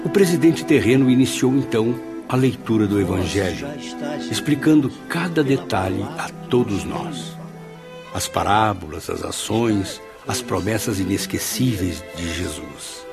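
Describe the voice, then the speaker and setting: A man's voice: lowish voice, expressive, a little slow, gravelly. An actor playing a humble, awestruck spirit who narrates his memoirs in a radio drama, earnest and reflective. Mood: reverent. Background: music.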